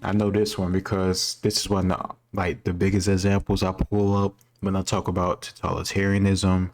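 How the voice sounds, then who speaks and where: A man with a very deep voice talks casually as a reaction video host. His intonation stays quite flat as he reasons aloud and shares opinions in an unscripted way. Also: moderate pace, soft background music. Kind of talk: casual off-the-cuff commentary.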